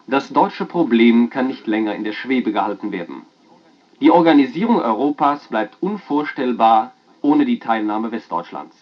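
A man playing a formal 1940s radio announcer reading press commentary through an old radio filter. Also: medium pitch. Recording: dull band-limited old radio sound.

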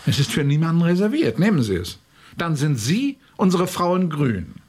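An actor playing a courteous, persuasive male tour guide in his fifties, formal and gently insistent, in a radio drama. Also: on the high side, sing-song, normal speed, music in the background. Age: 50s. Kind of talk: German radio drama acting.

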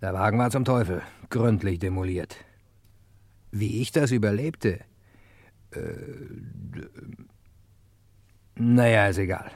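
An actor playing a hard-boiled, cocky hero who narrates a German radio crime play in clipped slang, talking straight to the listener. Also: male voice, lowish voice, slow pace, pauses often, darkish tone. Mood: cocky, sardonic.

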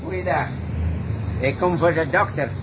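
A man's voice: on the high side, unhurried, lo-fi sound, very noisy, natural voice. An actor in a radio play playing an earnest, gullible farmer, blunt and hesitant in his folksy talk. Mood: earnest, awkward. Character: plain-spoken, earnest, gullible rich farmer.

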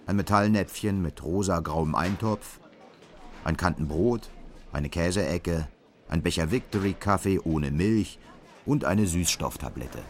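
A man's voice: sits low, slight background noise. A man narrating a literary radio drama in scripted prose.

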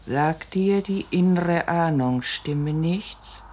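An actress playing a devoted, foreboding noblewoman with hushed, solemn delivery in 1950s radio drama style. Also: low-pitched, slow. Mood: anxious. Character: fearful, devoted, foreboding noblewoman, resolute in dread. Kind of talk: 1950s German radio drama stage acting. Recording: noisy 1950s radio recording.

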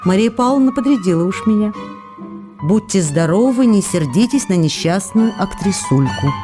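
A woman's voice: mid-range voice, expressive, normal speed, over music, lightly acted. A woman in a radio play voicing a young actress who writes playful, coaxing, reproachful letters to a writer. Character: young actress writing teasing, pleading love letters. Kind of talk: radio drama letter reading.

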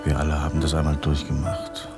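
A man acting in a German radio drama as a steady, grim but consoling Russian revolutionary leader, speaking tersely and matter-of-factly. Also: a bass voice, creaky. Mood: calm, consoling. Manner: terse, measured, matter-of-fact. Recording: studio radio play with music behind.

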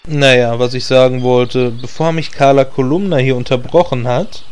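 A man's voice: mid-range voice, fairly even intonation, normal speed, clear, resonant tone, natural voice. A man playing a no-nonsense leader of fantasy heroes in a comic audio drama, dry and matter-of-fact. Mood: businesslike.

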